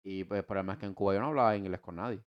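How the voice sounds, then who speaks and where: A man's voice: low voice, racing, clear, resonant tone, dull audio. A man in his twenties with a Cuban Spanish accent, casually telling his life story as a podcast co-host, rambling with many restarts and slangy asides. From United States. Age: 20s. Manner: slangy and relaxed.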